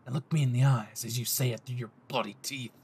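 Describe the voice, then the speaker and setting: A man voicing an obsessive, threatening young male anime hero in a low, growling audio roleplay performance. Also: fairly even intonation, fast, darkish tone, slightly rough. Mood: seething menace.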